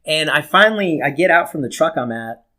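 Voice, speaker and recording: A man's voice: fairly high pitch, animated, fairly quick, sharp tone. A young American man talks in a loose, rambling way, like a podcast co-host spinning a story for a friend. He swears freely and keeps things comic. He slips into quoted dialogue as he tells it. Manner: rambling, acts out quoted dialogue, laughs at his own stories. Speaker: podcast co-host telling stories. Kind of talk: casual, profane comic storytelling.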